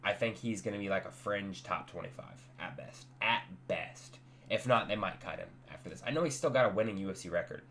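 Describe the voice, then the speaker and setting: Congested young dude, kinda low voice, blunt rambly fight talk. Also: male voice, expressive, a little fast, a bit bright, slight background noise. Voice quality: nasal, stuffy. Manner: blunt, offhand, rambling with restarts. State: congested after sickness. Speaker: MMA fight reaction host. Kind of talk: casual post-fight reaction chat.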